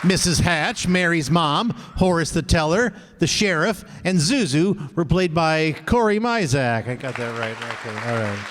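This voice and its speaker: Slow-spoken, high-voiced male announcer, noisy crowd. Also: expressive. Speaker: announcer reading cast credits at a live show. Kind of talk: live stage announcing. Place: live venue with an audience. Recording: noisy live audience recording.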